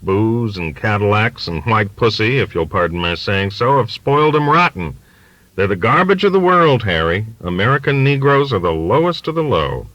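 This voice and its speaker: A man's voice: lowish voice, expressive, normal speed, a bit bright, no room sound, dull audio. A man voicing an emphatic, folksy, rambling old working-class father in an audiobook. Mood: ranting, indignant. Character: bigoted, confiding, long-winded old working-class American father. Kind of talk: audiobook character dialogue.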